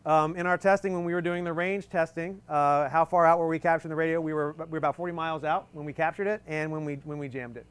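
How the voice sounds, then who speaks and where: A man's voice: fairly high pitch, steady tone, fast, hardly any pauses, smooth, no room sound. Casual joking man presenting a demo. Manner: casual, joking, explains step by step with many fillers. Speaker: presenter at a live equipment demonstration. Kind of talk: live technical demo talk. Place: outdoor tent at a desert field demo.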